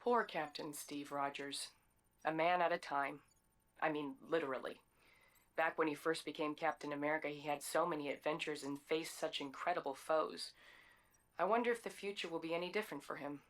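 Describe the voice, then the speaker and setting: A wistful storyteller, played by a woman for a video game, with a low voice. She gives scripted narration in a musing, reflective way. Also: steady tone, a little fast, natural voice. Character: wistful woman narrator recounting a hero's adventures.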